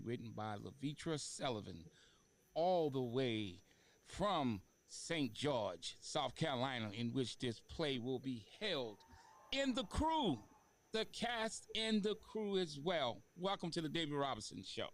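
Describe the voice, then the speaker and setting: Male talk show host, halting delivery. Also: mid-range voice, very animated. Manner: halting, repeats words for emphasis. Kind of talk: casual interview talk. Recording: faint background noise.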